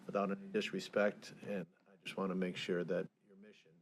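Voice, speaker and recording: Folksy, lowish-voiced councilman. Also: level tone, brisk. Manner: folksy, encouraging, rambling. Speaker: councilman at committee meeting. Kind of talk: informal remarks at a public meeting.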